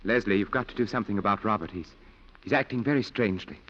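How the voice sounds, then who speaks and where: Actor as low-voiced concerned friend, muffled radio. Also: male voice, fast talker, close to an everyday voice. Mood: worried. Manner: urgent, serious. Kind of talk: 1940s radio acting. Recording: muffled, noisy 1940s radio recording.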